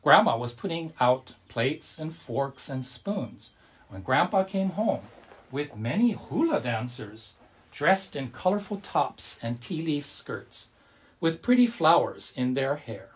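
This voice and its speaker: A man's voice: medium pitch, very animated, slow, slightly rough. A man speaking as a storyteller and service leader, addressing children and families in simple, friendly language.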